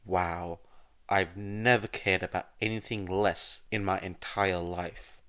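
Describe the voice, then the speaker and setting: An eccentric scientist acted out by a man reading a story, showy and blunt. He talks in a theatrical, teasing way with a dry edge. The delivery is measured. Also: deep voice, steady tone, a bit bright, clear, resonant tone. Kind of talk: amateur fan-fiction audio reading.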